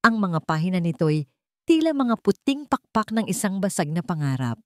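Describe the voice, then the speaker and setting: Female story narrator, lowish pitch, emotive and suspenseful scripted delivery, dry close recording. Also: big pitch swings, moderate pace, darkish tone, dry recording.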